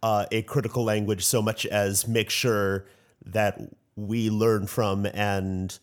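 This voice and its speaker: A man from North America with a lowish voice, moderating a discussion. He speaks thoughtfully and courteously. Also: little pitch movement, slow pace. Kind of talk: panel discussion.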